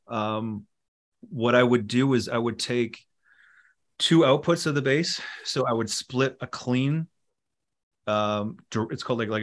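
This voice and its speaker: A middle-aged man talking casually in an interview, relaxed and rambling, with many fillers and restarts. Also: medium pitch. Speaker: musician and producer guest.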